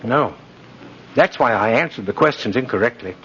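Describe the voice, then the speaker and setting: An actor playing a kindly, spirited old Santa Claus in a 1950s radio drama, speaking in a genteel, emphatic, theatrical way. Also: male voice, mid-range voice, animated, moderate pace, a bit bright, lightly acted. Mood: earnest, disillusioned. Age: older. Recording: muffled old radio broadcast, faint noise.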